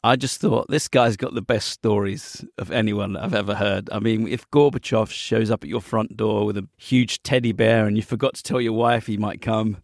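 A man's voice: lowish voice, steady tone, moderate pace, hardly any pauses, no echo, clean studio sound. A man hosting a casual podcast interview, joking about himself as he goes. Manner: jokey, self-deprecating. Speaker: podcast host.

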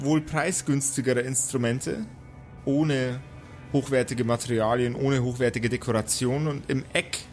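A man with a medium-pitched voice leads a tabletop role-playing game as its game master. He improvises descriptions with some theatrical drama and slips into banter. Music plays faintly behind him.

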